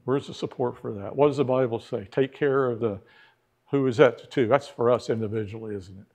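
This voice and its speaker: A man's voice: mid-range voice, fairly quick, darkish tone. A middle-aged man teaching a church history class in a church classroom, talking conversationally, putting questions to the class and acting out the people he quotes.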